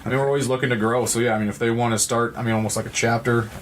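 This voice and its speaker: A man from Missouri with an American accent, speaking as an interview guest in an earnest, halting, reflective way. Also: medium pitch, little pitch movement, a little hiss or hum. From Missouri, United States. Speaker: nonprofit representative and guest. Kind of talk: conversational interview.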